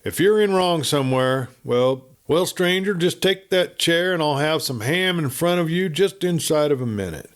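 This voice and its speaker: Guy doing a gruff western waitress gal, folksy and blunt, suspicious of men but soft underneath. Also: on the high side, lively intonation, normal speed, few pauses, voice pushed up, natural voice. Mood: relenting. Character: big gruff frontier waitress, young woman, wary but soft-hearted.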